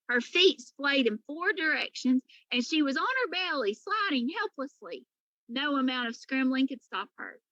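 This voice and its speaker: A woman reading a children's story aloud in an animated, emphatic way, like a teacher to pupils. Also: high-pitched, no room sound, dull audio.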